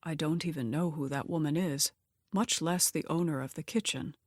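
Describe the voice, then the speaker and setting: A woman's voice: deep voice, moderate pace, darkish tone, no echo, studio quality. A woman voicing a cool, calculating young man in an audiobook, controlled and insinuating in manner. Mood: feigned innocence.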